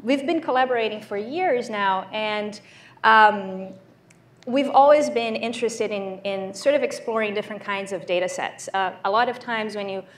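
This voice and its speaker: A woman's voice: medium pitch, moderate pace. A woman with a Brazilian accent giving a conversational, explanatory keynote demo in a large lecture hall. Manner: conversational, explanatory, frequent ums. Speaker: conference keynote speaker. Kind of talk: live demo presentation.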